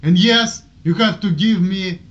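A man's voice: high voice, measured pace. A man in his thirties with a strong Russian accent, ranting casually to a webcam in a small room and waving his arms as he talks. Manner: animated, waves his arms, rambling restarts. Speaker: vlogger. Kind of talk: casual rant to camera. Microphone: webcam at desk. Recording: dull lo-fi webcam audio.

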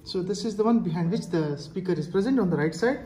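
A woman with an Indian accent giving a casual hands-on product demonstration in a halting, explanatory manner. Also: fairly low pitch, animated, dark tone, a little hiss or hum. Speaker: product reviewer. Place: indoors, tiled-floor room. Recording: echoey hard-floored room.

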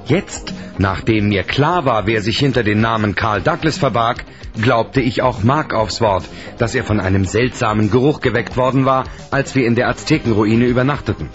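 A man's voice: medium pitch, moderate pace, close to an everyday voice. A man playing a composed first-person storyteller, reading scripted narration for a radio play. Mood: composed, reflective. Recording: dull old cassette, faint music behind.

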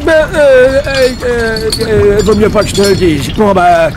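A mumbling evasive plumber. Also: male voice, sits high, unhurried. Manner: hesitant, full of "euh".

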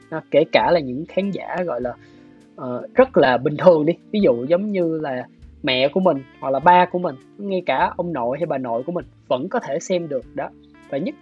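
Male interview guest, southern Vietnamese accent, husky and measured, muffled video-call sound with guitar music behind. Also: on the high side. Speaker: guest, animation director. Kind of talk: explanatory interview talk. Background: soft plucked guitar music.

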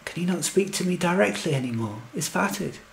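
A man's voice: sits high, lively intonation, a little fast, creaky, slight background noise, low-quality recording. A man playing a lovelorn, anxious, wry fellow who tells his own comic story in earnest, wordy scripted lines. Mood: hopeful, yearning. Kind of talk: scripted comic first-person narration and verse.

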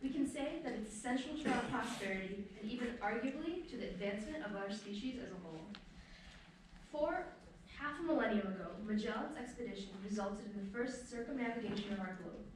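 A teenage girl gives an earnest, rehearsed talk to open her team's presentation. Her voice sits a little high. It is picked up from far off in a very reverberant lecture room, with a faint hiss underneath. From Michigan. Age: teens. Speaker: student presenter. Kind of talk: prepared team presentation. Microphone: far camera. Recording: very echoey room, distant camera.

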